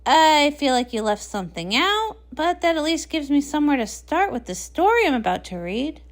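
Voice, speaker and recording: A woman's voice: sits high, very animated, normal speed. A woman voicing an earnest, bookish male pony scholar who sounds hesitant and puzzled in a comic read-along. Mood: baffled, studious.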